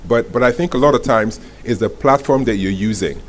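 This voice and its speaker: A man's voice: mid-range voice, fairly quick. A man answering audience questions at a live talk, direct and teasing, often checking in with "right?". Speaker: masterclass speaker. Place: indoor event room with audience. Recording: clearly noisy live room recording.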